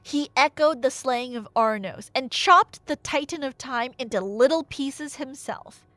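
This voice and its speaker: Female storyteller, high voice, unhurried delivery, expressive and wry, for a casual dramatic myth retelling. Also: dry recording. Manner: expressive, conversational, wry asides.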